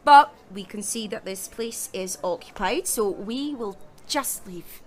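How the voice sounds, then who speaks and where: A woman's voice: mid-range voice, lively intonation, a little slow, slight background noise, close to an everyday voice. An actress playing a bossy, easily exasperated female leader of survivors in an audio drama. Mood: wary. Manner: commanding, exasperated.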